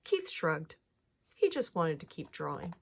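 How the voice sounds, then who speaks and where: Woman doing a storybook read aloud for kids, really lively pitch, expressive teacher style. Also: unhurried, noisy background. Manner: animated, clearly phrased storytelling for children. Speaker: teacher reading a picture book aloud.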